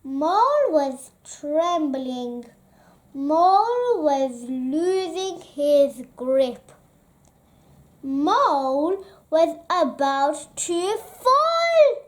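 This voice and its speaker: Very high, slow, dramatic child-like storybook reader. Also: sing-song. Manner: dramatic, deliberate phrasing. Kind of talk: expressive children's picture book read-aloud.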